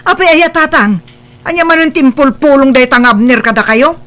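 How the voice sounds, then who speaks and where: A woman playing a harsh, strict mother in a spoken family drama who scolds and brushes others off. Her voice is high and sharp, with a bright, tense edge. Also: moderate pace. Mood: scolding. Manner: scolding and dismissive.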